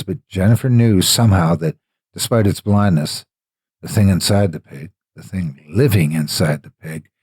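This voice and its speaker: A man narrating a scripted horror story with deliberate, ominous, dramatic phrasing. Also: deep voice, darkish tone, breathy edge, no room sound, studio quality.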